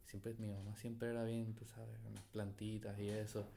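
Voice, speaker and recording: A young man with a Puerto Rican Spanish accent, from Venezuela and raised in Puerto Rico, speaking in a fairly low voice. He talks in a casual, hesitant way, stopping and restarting his sentences. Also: level tone, normal speed, vocal fry, mellow, a little hiss or hum. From born in Venezuela, raised in Puerto Rico. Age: 20s. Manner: hesitant, with restarts, self-deprecating. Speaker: guest, tattoo artist. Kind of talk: casual conversation.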